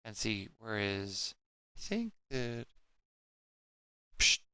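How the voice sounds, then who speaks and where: A man's voice: fairly low pitch, big pitch swings, sharp tone, smooth. A man co-hosting a casual livestream, muttering to himself as he works the tech and reads out viewer messages. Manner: halting, muttering to self while working. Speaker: livestream co-host running the tech. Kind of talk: casual chat, reading viewer messages aloud.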